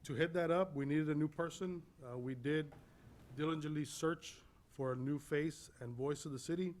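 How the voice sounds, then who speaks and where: A man's voice: on the high side, normal speed, darkish tone, slight background noise. A man speaking as a city official, delivering a report at a public meeting. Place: city commission meeting room.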